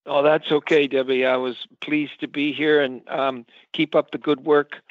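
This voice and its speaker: A man's voice: fairly high pitch, level tone, moderate pace, no echo. Man guest on dull lo-fi audio. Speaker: interview guest. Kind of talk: interview.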